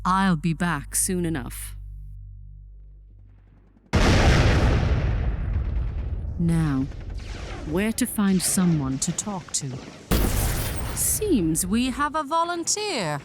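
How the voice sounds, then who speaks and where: A woman's voice: on the low side, sing-song, slow pace, over loud music. A woman playing a commanding, impatient alien general in a sci-fi audio drama, clipped and drily sarcastic. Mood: businesslike, confident.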